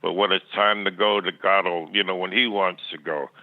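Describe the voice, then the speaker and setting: A man's voice: sits low, steady tone, normal speed, no room sound. An older man reminiscing as an interview guest, plainspoken and rambling, often stammering and repeating words, over a thin phone line. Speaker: podcast guest. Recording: tinny, band-limited phone line.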